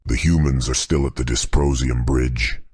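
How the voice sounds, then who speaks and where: A man voicing Satan as an enraged, paranoid tyrant demon king with a gravelly voice, speaking slowly and imperiously in an audiobook. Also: steady tone, a little mellow, no room sound, studio quality. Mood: menacing. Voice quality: very deep, gravelly, husky. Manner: slow, imperious, threatening.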